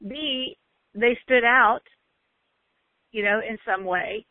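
A woman's voice: a little high, dry recording. Emphatic woman on a tinny line. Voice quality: loud, bellowing voice. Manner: emphatic, drawn-out stresses. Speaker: motivational speaker. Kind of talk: motivational talk. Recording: tinny phone-like line, dry.

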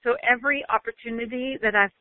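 A woman delivers a motivational talk in a loud voice, drawing out words she wants to land. The sound is thin and phone-like. There is no room echo at all. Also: on the high side, fairly even intonation. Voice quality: loud, bellowing voice. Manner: emphatic, drawn-out stresses. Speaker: motivational speaker. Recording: tinny phone-like line, dry.

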